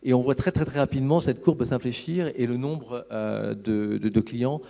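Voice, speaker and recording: A man giving a semi-improvised, halting and discursive explanation as an executive at a conference. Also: mid-range voice, gravelly, warm tone. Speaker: business executive presenting a case study. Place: conference hall on stage. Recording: reverberant hall sound.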